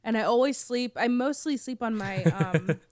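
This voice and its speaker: A young woman, still hoarse from being sick, chats casually on a podcast and coughs now and then. She rambles through fillers and restarts. Her voice comes through smooth and close, with no room sound. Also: on the high side, animated, moderate pace, a little mellow, dry recording. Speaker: podcast co-host. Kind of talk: casual chat.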